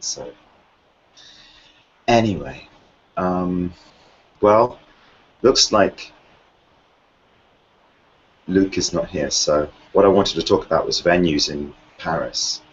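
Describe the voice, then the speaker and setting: A man's voice: low-pitched, takes it slowly, long pauses, breathy edge, muffled recording. A man hosting a video call, patiently walking someone through the mute button. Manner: patient, instructive. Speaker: meeting host. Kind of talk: casual video call chat.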